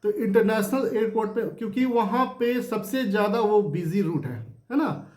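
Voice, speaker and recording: A man with a high-pitched voice talks through the news as a host, unscripted and informal. He explains by repeating himself and adds small rhetorical check-ins. The recording is noticeably roomy and echoey. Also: moderate pace, darkish tone. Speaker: host of a news discussion channel. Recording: roomy, echoing room sound.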